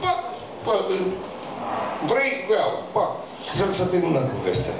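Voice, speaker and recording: An older man, a poet, talking casually at a panel in a cafe, with many restarts and sudden jumps in pitch. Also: wildly swooping pitch, vocal fry. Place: cafe room with live audience. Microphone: handheld mic, recorded by far camera. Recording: echoey, distant, dull, noisy room.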